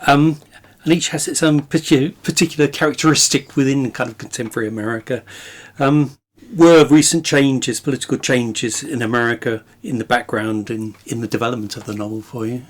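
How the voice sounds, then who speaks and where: A man with an average-pitched voice interviews a guest about books. His delivery is conversational, and he frames his questions thoughtfully, with some hesitation. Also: steady tone, a little fast, hardly any pauses. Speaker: interviewer, podcast host.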